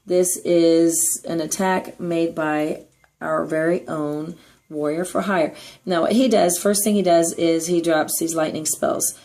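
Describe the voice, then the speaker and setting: Hoarse, raspy woman narrating a tutorial. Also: deep voice, steady tone, a little slow, warm tone. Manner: chatty and upbeat, with frequent um and uh. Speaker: clan leader giving strategy tips. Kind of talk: gameplay tutorial voice-over.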